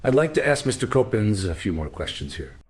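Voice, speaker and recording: An actor playing a restrained male police inspector in a radio drama, speaking formally and with measured delivery. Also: on the low side, very animated, a little mellow, slight background noise. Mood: defensive.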